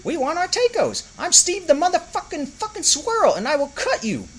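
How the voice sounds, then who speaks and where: A shrill, enraged squirrel. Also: male voice, huge pitch swings, a little fast, heavily acted. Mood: defiant. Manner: shouting, profane, threatening. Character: small foul-mouthed furious male squirrel leader.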